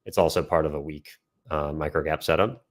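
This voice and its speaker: Man, very deep bass voice, informal teaching commentary, halting phrasing, thoughtful mid-sentence pauses, online tutorial. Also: steady tone. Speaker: trading educator and system developer. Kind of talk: informal instructional chart commentary with audience questions.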